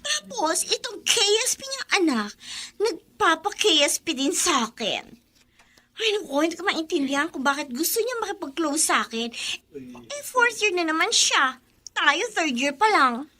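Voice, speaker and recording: A woman's voice: very high-pitched, very animated, moderate pace, pushed and bright, full character voice. A woman playing a snobbish, whiny teenage girl in a radio drama. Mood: haughty, whiny. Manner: whiny, haughty, exaggerated exclamations. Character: snobbish, bratty teenage girl, disdainful of poorer schoolmate. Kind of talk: Filipino radio drama acting.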